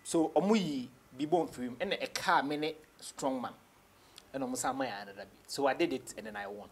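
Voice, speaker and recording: A Ghanaian man, a rapper being interviewed, recounts his past in a casual way. He has a medium-pitched voice and slips between English and Twi as he talks. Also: fairly quick, slight background noise. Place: small indoor studio.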